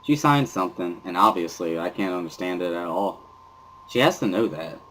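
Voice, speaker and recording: A man's voice: low-pitched, animated, moderate pace, a bit bright, a little hiss or hum, rough recording. A man giving an amateur dramatic reading of first-person narration from a visual novel. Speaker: narrator and reader. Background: music.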